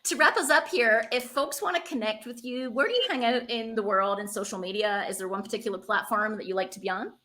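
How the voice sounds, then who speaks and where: A woman's voice: a little high, quick pace, few pauses, bright, forceful tone. A woman hosting a podcast, speaking casually and effusively as she interviews a guest. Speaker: podcast host and author.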